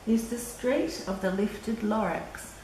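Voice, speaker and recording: Echoey, unhurried older woman reading a storybook. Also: fairly high pitch, fairly even intonation, a little mellow, far from the mic. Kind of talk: expressive children's picture-book read-aloud. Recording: echoey room from afar, faint noise.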